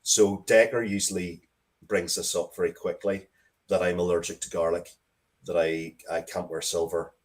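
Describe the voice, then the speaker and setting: Guy with a deep voice, chatting as a guest, keeps restarting himself, kinda dry humour. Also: little pitch movement. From Ireland. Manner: halting, self-interrupting, wry. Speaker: interview guest, writer. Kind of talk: casual interview talk. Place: basement, at home.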